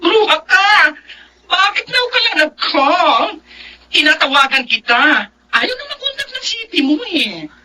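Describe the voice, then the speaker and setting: Animated caring young Filipino woman. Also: high voice, a little slow, exaggerated voice, poor audio. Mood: teasing, excited. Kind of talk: Filipino radio drama acting.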